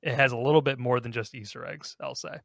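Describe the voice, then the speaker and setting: Guy hosting a gaming podcast, regular pitched voice, kinda rambly chat, no room echo. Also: fast talker, creaky, a bit bright, clean studio sound. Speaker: podcast host.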